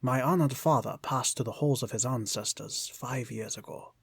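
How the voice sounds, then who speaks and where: A man's voice: mid-range voice, normal speed, creaky, husky, no echo. A man playing a courteous, guarded shopkeeper with flowery, formal phrasing in an old-time radio style drama. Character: courteous, guarded shopkeeper son, quaintly formal, solemn storyteller.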